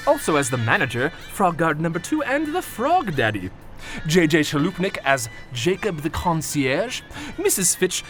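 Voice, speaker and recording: A man's voice: sits high, fairly bright tone. A man playing a pompous, irritable chief inspector in a comedy audio drama, given to barking outbursts. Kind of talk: audio drama credits read in character.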